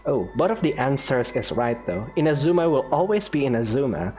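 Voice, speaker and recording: Casting: female let's-player, very deep voice, lively intonation, casual amused chat over background game music. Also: a little fast. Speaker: gaming video creator. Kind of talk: casual let's-play commentary.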